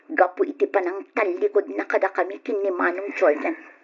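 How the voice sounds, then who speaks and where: A woman's voice: mid-range voice, fairly even intonation, moderate pace, breathy edge. A woman playing a sulky, resentful young daughter in an old radio drama, complaining petulantly. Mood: sullen, bitter. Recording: dull old radio recording.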